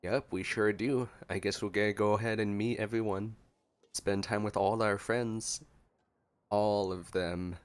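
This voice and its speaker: A man's voice: on the low side, fairly even intonation, unhurried, smooth. A man giving casual, playfully joking reaction commentary as a gaming streamer.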